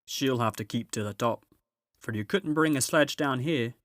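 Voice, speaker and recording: A man's voice: mid-range voice, moderate pace, fairly bright tone, no echo, studio quality, pitched above the performer's own voice, close to an everyday voice. A man voicing a practical, impatient male beaver in a storybook, with blunt, homely urging.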